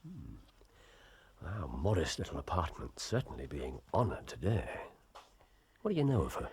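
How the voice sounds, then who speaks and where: An actor playing a shrewd middle-aged English detective in a period drama, speaking in deliberate, musing phrases with theatrical pauses. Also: male voice, very deep voice, wildly swooping pitch, gravelly, a little mellow, a little hiss or hum, full character voice. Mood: courteous, dry.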